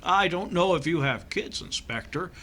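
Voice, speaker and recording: An actor playing a nervous, dithering doctor who stammers and hesitates with dry humour, in old-time radio comedy style. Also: male voice, fairly high pitch, expressive, normal speed, bright, forceful tone, heavily acted. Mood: hesitant. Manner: hesitant, stammering, dryly comic. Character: nervous, dithering American doctor and husband in comic thriller.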